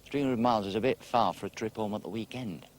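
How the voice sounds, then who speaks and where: An actor playing a reflective social worker near forty, speaking quietly and earnestly outdoors. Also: male voice, lowish voice, fast, a little hiss or hum, lightly acted. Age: around 40. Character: troubled man near forty seeking advice. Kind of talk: TV drama dialogue.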